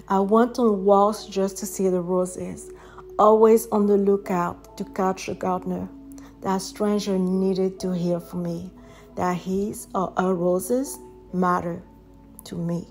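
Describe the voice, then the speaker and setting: A woman with a French accent, a native French speaker, reading her own poetry in a gentle, reflective way. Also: medium pitch, fairly even intonation, slow pace, faint music behind. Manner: gentle, reflective, soft-spoken.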